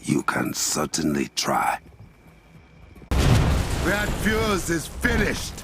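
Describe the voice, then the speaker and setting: A man's voice: a little high, wildly swooping pitch, extremely slow, creaky, heavy background noise, heavily acted. A man playing a resolute warrior king in a video game, speaking firmly and formally with an African accent. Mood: defiant. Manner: regal, firm, formal. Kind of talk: video game cutscene acting.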